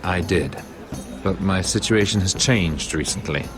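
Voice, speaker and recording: A man playing a worldly, sardonic merchant lord in a fantasy game, with a smooth, wry delivery. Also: low voice, unhurried. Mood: bitter, wry. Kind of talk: fantasy video game acting. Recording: music behind the dialogue.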